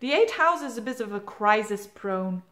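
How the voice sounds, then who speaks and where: A woman with a Flemish accent talks through a monthly horoscope in a loose, rambling way. Her first language is Dutch, and her English comes with plenty of fillers, repeats and restarts. Her voice sits at an ordinary middle pitch. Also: animated, normal speed, fairly bright tone. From Belgium. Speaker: astrologer. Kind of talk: casual monthly horoscope talk.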